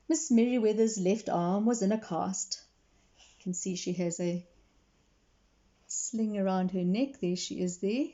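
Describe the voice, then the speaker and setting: A woman reading a children's story expressively, pausing to explain and put questions to young listeners. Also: mid-range voice, warm tone, lo-fi sound. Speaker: read-aloud storyteller for children.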